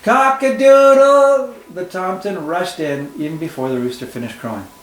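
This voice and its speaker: A man, a teacher, reading a picture book aloud to children with expressive storytelling and friendly asides. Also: a little high, brisk. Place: room at home. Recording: echoey room with faint ambience.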